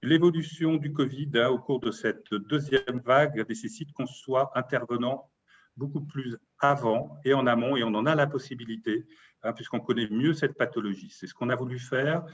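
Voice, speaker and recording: A man's voice: mid-range voice, normal speed, breathy edge, muffled recording. A man, a doctor and local official, explaining in interview answers and often punctuating points with a tag word.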